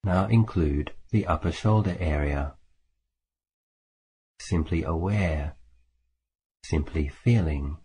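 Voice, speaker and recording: A man leading a guided meditation as a teacher, speaking in a calm, measured way with long pauses between phrases. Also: low-pitched, breathy edge. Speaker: Buddhist monk, meditation teacher. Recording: faint background hiss.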